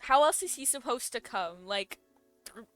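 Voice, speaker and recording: Casting: female gaming creator, slightly high bright voice, unhurried casual slangy delivery, close and dry. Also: expressive, no echo, soft background music. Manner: giggly, playful, slangy. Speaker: gaming content creator reacting to a story quest. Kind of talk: casual playthrough commentary.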